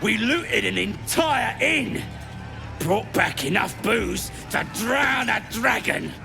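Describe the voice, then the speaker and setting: A man playing a boastful, belligerent goblin brute in a video game, with a gravelly voice and an English working-class accent. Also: sits high, expressive, slow pace, over music, heavily acted. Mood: boisterous. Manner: belligerent, taunting. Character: boastful, bullying goblin brute who loves drink. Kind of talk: video game character acting.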